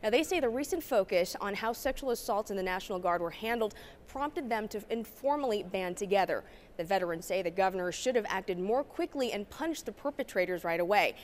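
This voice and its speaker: Woman reporting TV news, medium pitch. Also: animated, brisk, slight background noise.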